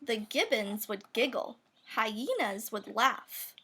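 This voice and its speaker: A high-voiced woman reads a children's picture book in rhyme. She takes it slowly, with big swoops in pitch and playful, expressive emphasis on the sound words. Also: a little hiss or hum. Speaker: storybook reader. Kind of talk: children's picture book read-aloud, rhyming verse.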